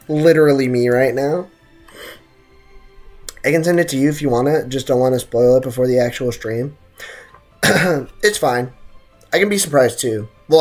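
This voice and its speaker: A young American man chatting casually and slangily as he streams a game, reading chat and answering it. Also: medium pitch. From United States. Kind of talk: casual live gaming chat. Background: game music.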